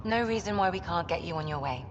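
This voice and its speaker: Woman as a low-toned, reassuring ship doctor. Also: expressive, close to an everyday voice. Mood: composed, reassuring. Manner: measured, reassuring, professional. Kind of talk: sci-fi video game acting. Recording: muffled, reverberant, noisy ambience.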